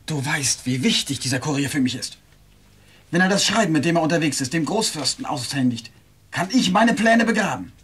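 An actor in a German audio drama playing a scheming military rebel leader who speaks with courteous, measured menace. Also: male voice, fairly high pitch, expressive, a bit bright, breathy edge. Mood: intent, calculating.

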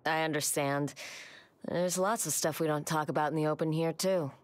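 A woman acts a butcher in a video game. Her voice is low, and she comes across as guarded, reserved and businesslike. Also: steady tone, normal speed, natural voice. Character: guarded, matter-of-fact butcher shopkeeper.

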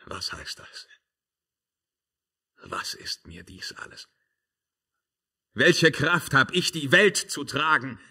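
Tormented emperor, sharp piercing voice. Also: male voice, fairly high pitch, big pitch swings, takes it slowly, pauses often, husky, heavily acted. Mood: anguished, proud. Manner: declamatory, swinging from hushed musing to anguished cries. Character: tormented emperor, brooding, despairing, imperious man. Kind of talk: 1950s German radio drama, theatrical verse acting.

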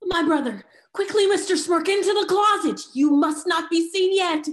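A woman's voice: very high voice, moderate pace. A woman playing a flustered, scolding sister in a period stage comedy, exclaiming theatrically. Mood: flustered, urgent. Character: flustered, scolding lady, sister of an old gentleman.

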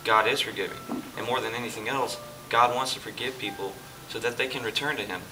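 A man acting out a calm Jesus who explains things patiently. His voice sits at a middle pitch. It is heard from across a church hall, with music behind. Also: fast, natural voice. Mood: earnest, patient. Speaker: church play actor. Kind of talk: amateur church stage play. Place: church hall stage, indoors. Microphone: far camera. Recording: distant camera with music behind.

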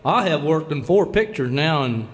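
An actor playing a simple, earnest, stilted movie trainee dogie in a parody radio commercial. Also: male voice, fairly high pitch, lively intonation, lightly acted. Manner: slow, stilted. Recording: reverberant hall, audience behind.

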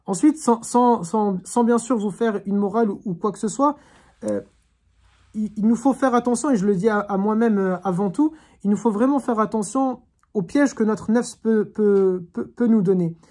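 A woman gives a religious lesson over a video call. Her pitch sits in a middle range, and she speaks in an earnest, reflective way. She often pauses to think, then presses her point home. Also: mellow. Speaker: Quran teacher. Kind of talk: online religious class.

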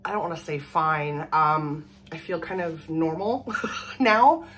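A woman in her thirties from Canada, hoarse from a cold, chatting casually and rambling to the camera at home. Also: deep voice, expressive, takes it slowly, fairly bright tone, slight background noise. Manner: rambling, chatty, laughs often. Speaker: vlogger. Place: small room at home, indoors. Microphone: camera in front at desk distance.

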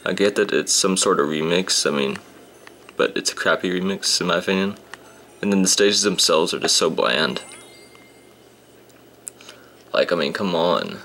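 Low gravelly young man grumbling over gameplay. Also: sharp tone, a little hiss or hum. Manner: grumbling, sarcastic about the game. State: voice a bit rough. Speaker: gameplay commentator. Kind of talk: casual let's-play commentary. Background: game music.

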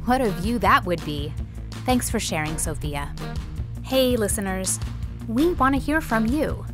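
A woman's voice: fairly high pitch, animated, a little slow. A woman hosting a children's podcast, reading scripted credits and announcements. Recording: clear music behind the voice.

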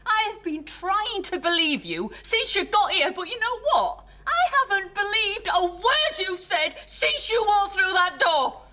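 An actress playing a wary, hurt estranged wife in a modern radio drama, questioning directly and sceptically. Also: female voice, very high voice, big pitch swings, measured pace, few pauses, pushed and bright, full character voice. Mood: hurt, resigned.

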